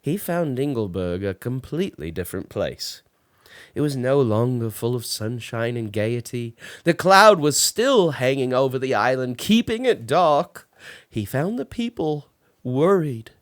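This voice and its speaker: A man reading a children's storybook aloud as an expressive narrator. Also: medium pitch, unhurried, no room sound, studio quality. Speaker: storybook reader.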